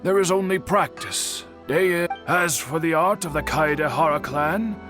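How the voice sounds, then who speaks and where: A man voicing an older master bladesmith in a video game, slow, dignified and reflective. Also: on the high side, a bit bright, faint music behind, close to an everyday voice.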